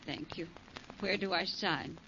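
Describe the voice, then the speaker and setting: A woman's voice: lowish voice, unhurried, bright, forceful tone. An actress playing a middle-aged mother, bitter and resolute, in a 1940s radio drama. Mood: grimly resigned. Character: stubborn, bitter, protective mother of grown sons. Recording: noisy, muffled 1940s radio recording.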